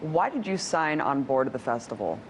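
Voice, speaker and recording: A young woman hosting a TV interview, brisk and upbeat as she puts pointed questions to her guest. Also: extremely low pitch, big pitch swings, a little hiss or hum, poor audio. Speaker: TV host.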